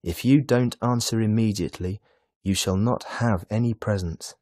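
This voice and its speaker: A man's voice: lowish voice, normal speed, a little mellow, no room sound, clean studio sound, natural voice. A man playing a stern old Santa Claus in a children's storybook, indignant and commanding as he scolds. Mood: angry.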